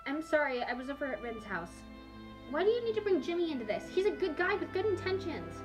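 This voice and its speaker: A woman's voice: sits high, sing-song, quick pace, over music, pitched above the performer's own voice. A woman voicing a cautious, exasperated teenage schoolgirl in a dramatic fanfiction reading, emphatic and indignant.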